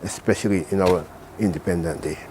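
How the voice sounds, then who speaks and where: A man's voice: sits low, fairly even intonation, brisk, dark tone, slight background noise, poor audio. An older man, an association president and studio guest on a morning TV show, speaking earnestly and repeating his thanks. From Saint Vincent. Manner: earnest, thankful, repetitive phrasing. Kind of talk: morning TV interview.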